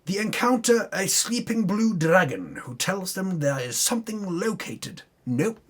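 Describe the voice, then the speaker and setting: A man's voice: high voice, very animated, a little slow, fairly bright tone. A man giving a dramatic reading of a tabletop game recap, theatrical in his telling, with wry asides. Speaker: reader of a tabletop campaign recap. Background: music under the voice.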